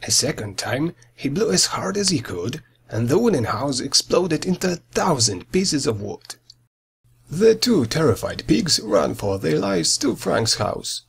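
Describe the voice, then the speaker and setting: Guy narrating a kids fairy tale, very raspy, swoops up and down a lot, dramatic. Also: fairly high pitch, unhurried. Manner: dramatic, expressive storybook delivery. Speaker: storyteller.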